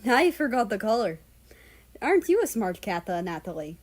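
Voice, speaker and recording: A woman reading the part of a man who gently fusses over a pet cat in a story. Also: medium pitch, very animated, moderate pace. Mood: fond, coaxing.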